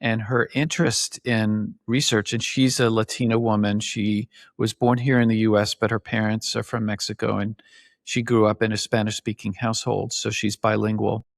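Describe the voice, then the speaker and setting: Low-voiced man, dry close sound. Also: flat delivery, normal speed, gravelly, no echo. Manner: thoughtful, reflective questioning. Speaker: host, emergency physician. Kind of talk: interview conversation.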